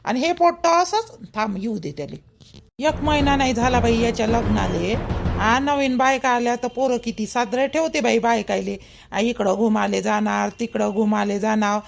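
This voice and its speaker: A woman's voice: high voice, vocal fry. A woman voicing a middle-aged, protective, gossipy mother-in-law in a village cartoon, emphatic and repetitive, with a Vidarbha Marathi accent. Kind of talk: rural family cartoon dialogue.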